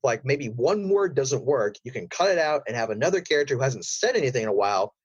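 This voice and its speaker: A man's voice: mid-range voice, sing-song, fast talker, breathy edge, no echo. Man chatting casually, dry slightly dull sound. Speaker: podcast guest, voice actor and audio drama creator. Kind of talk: casual interview chat, storytelling.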